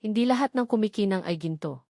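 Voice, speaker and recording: A woman's voice: medium pitch, expressive, warm tone, no echo, studio quality, natural voice. Woman as serious, caring male tricycle driver. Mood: grave, warning. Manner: serious, direct, deliberate. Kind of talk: storybook character dialogue.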